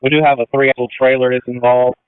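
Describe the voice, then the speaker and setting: A male fire commander speaks over a thin, narrowband radio channel. His voice is clear and middle-pitched, and he gives procedural, matter-of-fact instructions as emergency traffic. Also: level tone, quick pace, no echo. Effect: two-way radio transmission. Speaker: fire battalion chief acting as incident commander.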